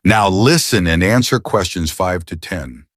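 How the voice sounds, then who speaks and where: A man's voice: deep voice, expressive, a little slow, fairly bright tone, dry recording, studio quality. A man reading scripted, formal instructions as the announcer of a listening test. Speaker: exam announcer.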